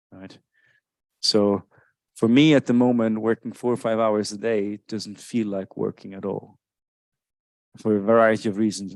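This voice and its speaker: A low-pitched man speaks to a live audience at a book event, working through his answer step by step. He often checks in with "right?". His voice is close and dry, with no room echo. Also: a little mellow. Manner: thoughtful, explanatory, often tags with "right?". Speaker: author answering audience questions.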